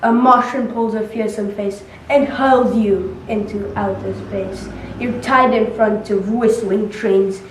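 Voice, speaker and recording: Young schoolgirl reciting verse dramatically at a slow pace, distant mic with faint noise. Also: medium pitch, echoey room. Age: child, about 9 or 10.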